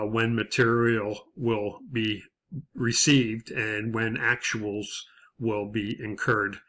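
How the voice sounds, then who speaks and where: A man giving a lecture as an instructor, explaining methodically in a semi-scripted way with hesitant pauses. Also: lowish voice, sharp tone.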